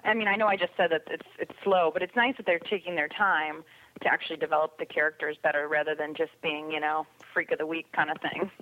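A woman's voice: low-pitched, fairly even intonation, fast, dry recording. A woman chatting casually as a podcast panelist over a remote call. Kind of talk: casual chat about TV shows. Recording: dull lo-fi remote call audio.

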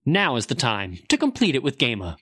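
A man's voice: mid-range voice, wildly swooping pitch, brisk, bright, forceful tone, husky, dry recording, studio quality. A man reading a scripted sponsor message as an announcer. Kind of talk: scripted advertising narration.

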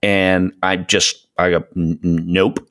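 Low-voiced man in his fifties, chatting. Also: level tone, takes it slowly, a bit bright. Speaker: podcast co-host. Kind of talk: casual chat about gaming.

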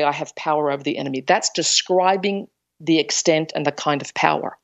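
Woman bible teacher, kinda low voice, earnest explaining w emphasis on key phrases, slightly dull lo-fi audio. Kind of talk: spiritual teaching with scripture explanation to an audience.

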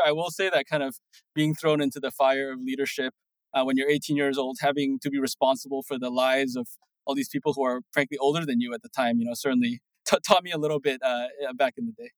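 Fast-talking man with tag questions. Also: mid-range voice, no room sound, rough recording. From Singapore. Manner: quick, animated, many restarts and tag "right?". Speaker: guest, startup co-founder. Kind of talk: casual interview talk.

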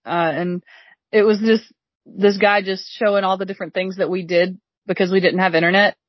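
A woman's voice: medium pitch, flat delivery, fairly quick, no echo. A woman in her thirties, an author guest on a podcast, chatting casually and laughing often. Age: 30s. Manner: friendly, laughs often, frequent agreeing. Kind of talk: casual interview chat. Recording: dry, slightly dull sound.

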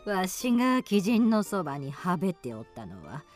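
A woman's voice: lowish voice, huge pitch swings, moderate pace, a little mellow, faint music behind. A woman playing a centuries-old, mysterious and stern old mountain woman with archaic, weighty speech in a radio drama. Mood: cryptic, calm.

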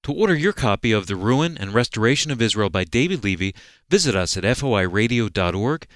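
A man reading a promotional announcement on the radio in a measured way, slowing for the phone numbers. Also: lowish voice, fairly bright tone, no echo, studio quality.

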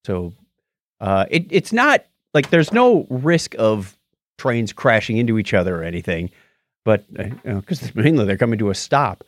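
A man's voice: on the low side, sing-song, normal speed, no room sound. An American man co-hosting a show, chatting casually through news stories in a chuckly, explanatory way. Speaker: podcast co-host.

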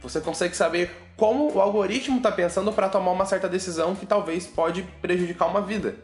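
A man's voice: high voice, fast. A man with a Brazilian Portuguese accent hosting a casual science news podcast, chatty and joking with listeners. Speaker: podcast host. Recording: roomy echo with faint music.

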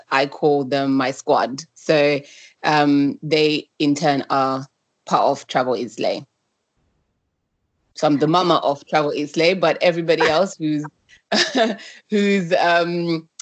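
A woman's voice: sits low, no room sound. A woman from Zimbabwe who grew up partly in the UK, answering as an interview guest in a conversational way and rephrasing as she thinks aloud. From Zimbabwe, moved to the UK around age ten. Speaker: podcast guest, travel brand founder.

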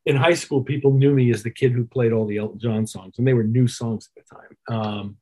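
A man with a husky voice talking thoughtfully and articulately as a musician being interviewed. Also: mid-range voice, fairly even intonation, a little fast, dark tone, rough recording. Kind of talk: reflective conversational interview.

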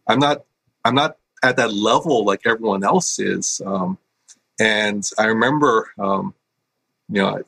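A middle-aged man from Hawaii, a school principal, answering interview questions in an earnest, halting way with many fillers. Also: on the low side, fairly bright tone, husky, no echo.

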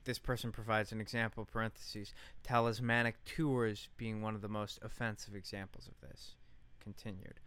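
A man's voice: fairly low pitch, little pitch movement, moderate pace, a bit bright, clear, resonant tone. A man co-hosting a podcast, reading aloud and chatting in an informal, sweary way. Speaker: podcast co-host. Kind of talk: casual podcast chat and reading aloud from a post.

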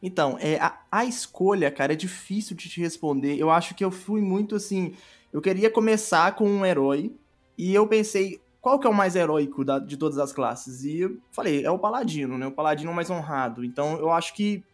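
High-pitched Brazilian man, casually explaining. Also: faint music behind. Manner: halting, repeats words and often seeks agreement. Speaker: podcast guest, audio drama creator. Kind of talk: casual explanatory podcast talk.

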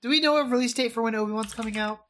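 A young woman co-hosting a podcast, chatting casually in a quick, animated way with lots of asides. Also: a little high, a little hiss or hum. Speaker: podcast co-host. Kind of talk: casual chat.

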